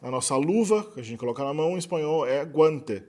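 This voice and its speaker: A man with a Brazilian Portuguese accent giving an explanatory linguistics lecture in a relaxed way, often adding tag questions and correcting himself. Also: on the high side, a little fast.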